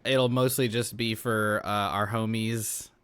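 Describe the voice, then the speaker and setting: A man's voice: lowish voice, steady tone, measured pace, a bit bright, slight background noise. A man hosting a podcast in casual chat, talking in a sweary, slangy, enthusiastic way. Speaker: podcast host and player.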